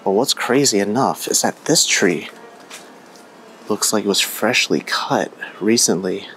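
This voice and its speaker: A young man vlogging casually as he walks through an abandoned mansion, chatty and excitable. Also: on the low side, measured pace, soft background music, poor audio. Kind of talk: casual walkthrough vlog. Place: indoors in an abandoned house.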